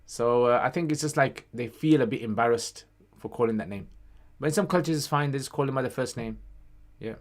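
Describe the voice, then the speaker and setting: A man's voice: mid-range voice, a little fast. Informal man chatting on a livestream. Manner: informal, conversational, sprinkles in Arabic phrases. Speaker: livestream host answering questions on Islam. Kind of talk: casual livestream Q&A chat, reading out questions.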